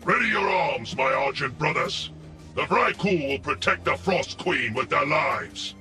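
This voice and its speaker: A bold warrior commander from a video game. His heroic, projected voice rides high and swings widely, with a forceful edge. Faint music plays behind. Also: animated, measured pace. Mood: rallying, resolute. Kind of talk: video game character acting.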